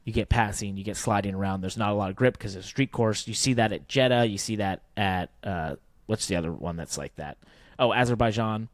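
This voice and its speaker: A man co-hosting a podcast, chatting casually and colloquially about racing with a joking, animated manner. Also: lowish voice, brisk, no room sound. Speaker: podcast co-host. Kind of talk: casual motorsports banter.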